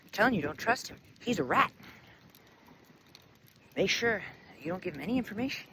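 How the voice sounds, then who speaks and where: An old woman of a folk tale played by a woman storyteller talks plainly and deliberately. Her voice moves up and down expressively, with firm emphasis on her words. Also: mid-range voice, expressive, noisy background, lightly acted. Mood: stern warning. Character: old woman, blunt and wary, later humbled. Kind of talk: oral storytelling.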